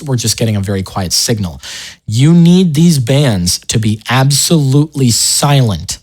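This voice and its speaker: Male tutorial host, lowish voice, informal and explanatory, talking through software steps. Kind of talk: casual software tutorial narration.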